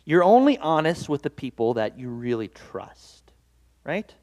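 A man's voice: fairly high pitch, big pitch swings, a little slow, a little mellow. A man preaching a devotional sermon as pastor, earnest and conversational, with self-deprecating asides. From Norwegian-American heritage.

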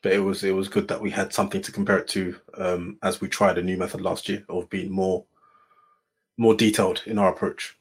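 Husky low-voiced man, flat delivery. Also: a little fast. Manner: punchy, quotes sayings, ends points with "full stop". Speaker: panel guest. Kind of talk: casual discussion.

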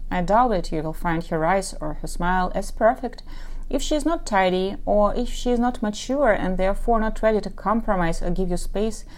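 A woman's voice: mid-range voice, a little mellow. Woman, Ukrainian Russian-speaking accent, Russian native speaker, matchmaker and dating coach, podcast monologue, advice-giving, long lists of examples.

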